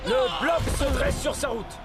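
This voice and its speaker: A man voicing an excitable cartoon football commentator, dramatic and exclamatory. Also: very high voice, unhurried. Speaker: match commentator. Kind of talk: animated football commentary, French dub. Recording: loud music behind the voice.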